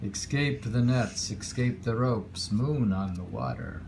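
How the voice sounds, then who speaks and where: A man reading short poems aloud and commenting on them casually, in a musing, informal way with reflective pauses, over a noisy recording. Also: medium pitch, gravelly. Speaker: poetry reader.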